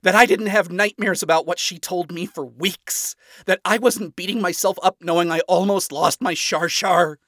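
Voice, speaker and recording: A man's voice: on the high side, animated, unhurried, a bit bright, dry recording, studio quality, voice pushed up, heavily acted. A man voicing a proud, irritable devil father in a story, speaking in a wounded, defensive way. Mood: self-loathing. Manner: indignant, defensive.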